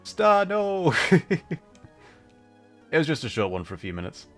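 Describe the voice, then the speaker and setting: A man's voice: on the high side, sing-song, a little slow, fairly bright tone, faint music behind. A man hosting a casual livestream, rambling thoughtfully as he plays and answers chat, with an easy tendency to chuckle. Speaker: livestreamer and host.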